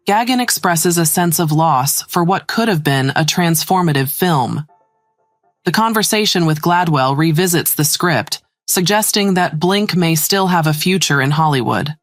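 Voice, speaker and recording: A woman narrating scripted celebrity news in a measured, formal read-aloud manner. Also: fairly low pitch, dry recording. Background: background music.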